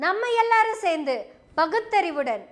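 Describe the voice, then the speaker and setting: A woman's voice: very high voice, lively intonation, moderate pace. A woman giving an opinion monologue to camera as a political commentator, sarcastic and full of rhetorical questions.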